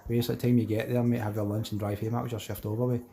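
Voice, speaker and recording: A man's voice: fairly low pitch, flat delivery, very fast, warm tone. A man with a Glaswegian accent chatting casually on a fishing live stream, replying to viewers in a matey, rambling way with colloquial Scots wording. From Glasgow, Scotland. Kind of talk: casual live chat, reading and answering viewer messages.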